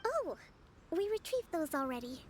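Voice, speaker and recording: A woman voicing a gentle, composed young island leader in a video game, polite and measured but overworked. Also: very high voice, sing-song, slight background noise. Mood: gracious, weary. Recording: game music behind the voice.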